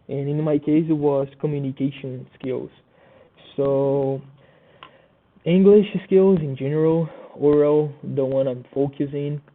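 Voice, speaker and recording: Young Brazilian man, native Portuguese speaker, halting student presenter with a flat, level voice over thin phone-like sound. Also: mid-range voice. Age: young adult. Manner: halting, many fillers and restarts. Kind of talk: class presentation. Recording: tinny phone-like audio.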